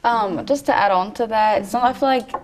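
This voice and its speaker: A woman's voice: fairly high pitch, moderate pace. A young woman student speaking on a discussion panel, hesitant, using many fillers and trailing off at the ends of thoughts. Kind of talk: casual discussion.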